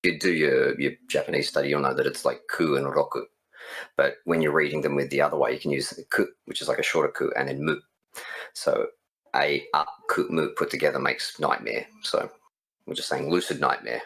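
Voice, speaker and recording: A man's voice: a bass voice, steady tone, fairly quick, vocal fry, a bit bright. A man who develops and writes games, talking casually in an interview.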